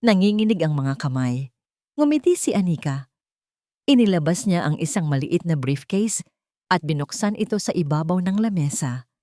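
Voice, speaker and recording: A woman's voice: on the low side, sing-song, moderate pace, dark tone, no room sound, clean studio sound. A woman reading a scripted romance story as its narrator, in a dramatic storytelling style.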